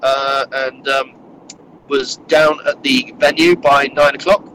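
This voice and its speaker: A man's voice: medium pitch, fairly even intonation, takes it slowly, sharp tone, poor audio. A man with a blocked, nasal voice from a cold chats casually from his car. He rambles through fillers and restarts, and faint road noise hums beneath him. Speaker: podcast co-host recounting a wargaming tournament. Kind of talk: casual chat. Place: in a moving car.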